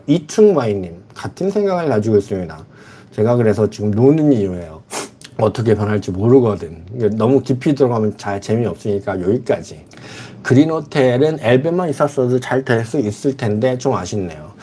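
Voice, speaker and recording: A man's voice: medium pitch, expressive, a little fast, warm tone, a little hiss or hum. A middle-aged man hosting a casual livestream, answering viewer comments bluntly and frankly. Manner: blunt, reads chat comments aloud and replies frankly. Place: lives in the Philippines.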